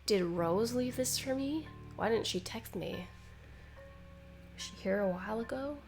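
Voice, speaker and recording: A woman's voice: fairly high pitch, lively intonation, a little slow, darkish tone, faint music behind. A woman co-hosting a game stream, mixing casual, sweary banter with reading game text aloud. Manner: irreverent, sweary.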